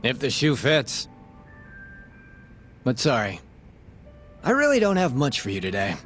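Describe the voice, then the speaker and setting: An actor playing a sly, shameless crooked cop who talks in a casual, glib way in a video game scene. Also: male voice, mid-range voice, wildly swooping pitch, measured pace, fairly bright tone, faint music behind. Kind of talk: video game cutscene acting.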